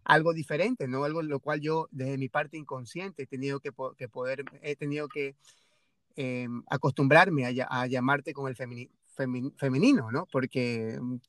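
A man's voice: medium pitch, steady tone, normal speed, dry recording. Hesitant man with a Guayaquil accent. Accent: Guayaquil Ecuadorian Spanish. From Ecuador. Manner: hesitant, many fillers and restarts, tag questions. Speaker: podcast host. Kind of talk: casual conversational interview.

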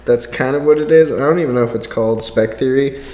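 A man's voice: medium pitch, fast talker, warm tone. A man co-hosting a podcast, rambling casually and jokily in informal, sweary talk, recorded with echoing reverb. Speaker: podcast co-host. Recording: echoey hall-like reverb.

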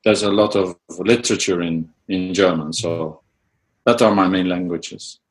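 A man's voice: sits low, a little slow. A middle-aged man whose first language is Dutch, answering interview questions about his research with many fillers and restarts. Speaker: music researcher, interview guest.

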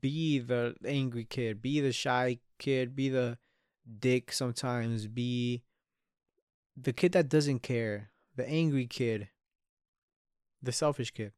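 A man in his early twenties talks through his thoughts on a solo podcast, candid and sweary, often trailing off and starting over. His voice is smooth and clear, recorded dry and close. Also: mid-range voice, fairly even intonation, takes it slowly, a little mellow, dry recording. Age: 20s. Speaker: podcast host. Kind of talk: solo reflective podcast monologue.

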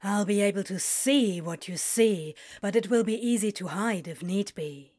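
A woman's voice: medium pitch, close to an everyday voice. A woman voicing a small male serpent prince, sardonic and putting on princely airs, with a slightly sibilant drawl. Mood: flat, haughty. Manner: sibilant, drawn-out, flat drawl.

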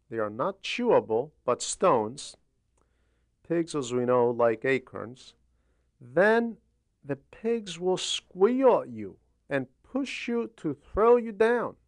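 A man delivers a religious homily at an unhurried, slow pace, with a slightly high-set voice. He teaches deliberately, breaking his sentences into short phrases with pauses. The sound is dry and close, with very little room in it. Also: creaky, dry recording. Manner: deliberate, didactic, with frequent pauses between phrases. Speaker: homily reader and translator. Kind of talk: religious homily, read aloud.

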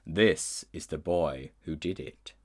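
A man voicing a great lion who speaks slowly, low and deep, with a hint of a growl. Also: heavily acted. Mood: grave. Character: vast solemn male lion, grave and commanding.

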